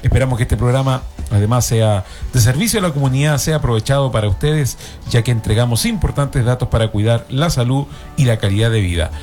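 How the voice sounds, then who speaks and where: A man's voice: medium pitch, fairly quick, darkish tone, faint music behind. A man with a Chilean accent hosting a community health radio panel, speaking formally with frequent fillers. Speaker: radio host.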